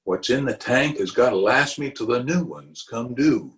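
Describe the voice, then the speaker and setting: A man's voice: medium pitch, animated, normal speed, fairly bright tone, lightly acted. A man playing a pompous, self-justifying middle-aged uncle in an old-time radio comedy, with a blustery, chuckling manner. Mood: coaxing. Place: recorded remotely over a video call.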